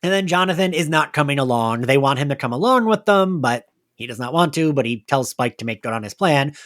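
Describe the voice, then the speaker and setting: A podcast host chatting casually and excitedly about an audio drama, with the gushing, giggly manner of a fan. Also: medium pitch, a little fast, dry recording, clean studio sound. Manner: animated, gushing fan talk peppered with laughter.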